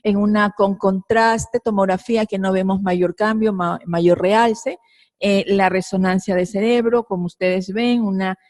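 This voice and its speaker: A woman's voice: medium pitch, steady tone, measured pace, darkish tone, no room sound, studio quality. A woman from Ecuador, a pediatric neurosurgeon, presenting a case at a conference, hesitant with many fillers.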